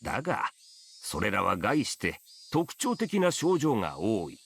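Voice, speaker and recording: A gravelly, crusty old coroner. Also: male voice, lowish voice, wildly swooping pitch, brisk, fairly bright tone, exaggerated voice. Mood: gruff, matter-of-fact. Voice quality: hoarse, gravelly. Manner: drawling, folksy elderly phrasing. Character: crusty old male coroner, gruff and wry. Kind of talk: Japanese visual novel voice acting.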